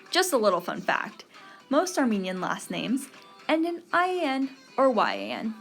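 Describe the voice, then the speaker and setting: A young woman from Texas hosting a podcast, giving an earnest scripted narration. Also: on the high side. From Texas, USA. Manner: earnest, read-aloud delivery. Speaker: podcast host.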